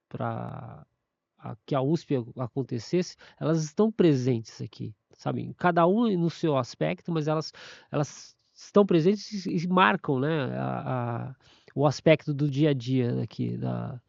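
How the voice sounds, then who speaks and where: A man's voice: mid-range voice, creaky, a little mellow, no echo, muffled recording. A man, a university staff member giving a casual testimony, often stumbling, restarting and repeating words.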